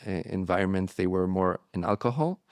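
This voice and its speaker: A man whose first language is Spanish, speaking candidly as an interview guest, reflective and halting with frequent restarts. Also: low voice, lively intonation, dry recording. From born in Morocco, raised abroad. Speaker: guest, relapse prevention therapist.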